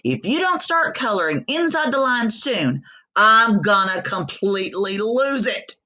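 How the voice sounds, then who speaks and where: A woman's voice: medium pitch, sing-song, a little slow, bright, forceful tone. A woman voicing a fussy, very neat storybook crayon, insistent and exasperated.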